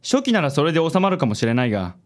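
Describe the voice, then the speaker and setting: A man playing a suave, vain handsome company president in a Japanese radio comedy, smooth and affected, dropping in English words. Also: on the high side, big pitch swings, racing. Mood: confident. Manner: smooth, self-assured, affected. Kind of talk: Japanese comic radio drama acting.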